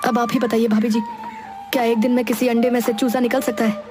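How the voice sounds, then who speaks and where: A woman's voice: a little high, flat delivery, fast, lightly acted. A woman voicing a simple, earnest young village lad in a cartoon moral story. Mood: puzzled. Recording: loud music under the voice.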